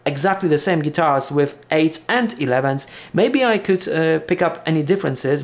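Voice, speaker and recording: A man from Poland with a Polish accent and Polish as his first language, talking casually in an unboxing vlog, halting with many fillers and restarts. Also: fairly high pitch. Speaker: vlogger.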